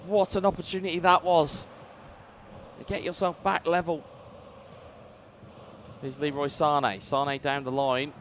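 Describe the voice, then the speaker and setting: A male esports commentator giving play-by-play commentary on a football video game, building up and booming on big chances. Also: little pitch movement, measured pace, pauses often, a little hiss or hum. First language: English.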